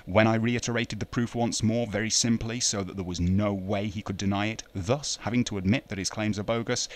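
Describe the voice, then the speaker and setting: A man delivering a scripted debunking narration in an articulate, lecturing way, with scornful sarcasm. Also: fairly low pitch. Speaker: video narrator.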